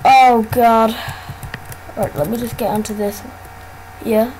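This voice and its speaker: A woman casually commenting on her gameplay, excitable and often exclaiming. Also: on the high side, slow pace, a little hiss or hum, low-quality recording.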